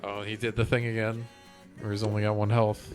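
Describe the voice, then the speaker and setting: A man's voice: lowish voice, monotone, brisk. Casual male game streamer over music. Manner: thinking aloud, rambling commentary to viewers. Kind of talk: casual chat while playing a game. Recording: music behind the voice.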